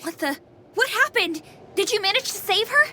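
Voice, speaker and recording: A woman voicing a playful, caring young female AI companion with an expressive manner in a video game. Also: very high-pitched, moderate pace, a little hiss or hum, full character voice. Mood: alarmed. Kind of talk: video game character acting.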